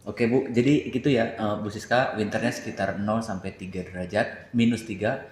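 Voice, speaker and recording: A man with an Indonesian accent casually moderating a group question session, teasing and quick to chuckle. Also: fairly low pitch, steady tone, a little hiss or hum. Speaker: moderator of a tour briefing. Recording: echoey room pickup.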